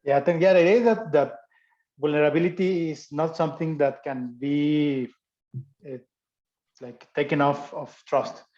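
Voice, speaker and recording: A man whose first language is not English, giving an academic talk and answering questions, speaking haltingly with many restarts and fillers. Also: on the high side, darkish tone, dull audio. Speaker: guest speaker.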